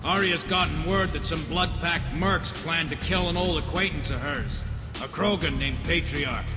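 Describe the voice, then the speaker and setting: Crime boss's henchman, sci-fi game, male actor: higher-pitched, curt, cagey, echoing in a reverberant hall. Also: moderate pace, music in the background, lightly acted. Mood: businesslike. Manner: blunt, streetwise. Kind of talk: video game voice acting.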